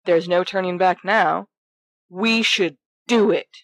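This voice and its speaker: A woman voicing a brash, excitable fourteen-year-old schoolboy in an audio fiction reading, teasing and bossy in manner. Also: on the low side, slow pace, dry recording. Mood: excited.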